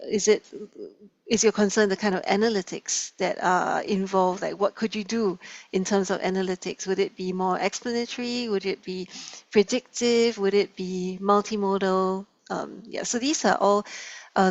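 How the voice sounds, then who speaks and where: A woman's voice: mid-range voice, no echo. A woman presenting informally on a video call in a hesitant, think-aloud way. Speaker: presenter at an online kickoff meeting. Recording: slightly dull, dry video call audio.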